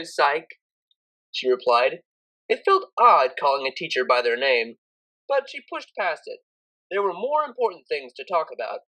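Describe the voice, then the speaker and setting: A woman reading a scripted story aloud as an expressive audiobook narrator. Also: lowish voice, rough recording.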